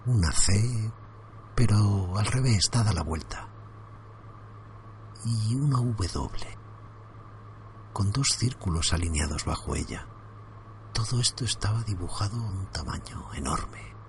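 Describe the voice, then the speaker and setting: A man narrating a first-person horror story for his podcast in a hushed, suspenseful way, often sinking into whispers. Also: lowish voice, animated, slow pace, pauses often, a little hiss or hum.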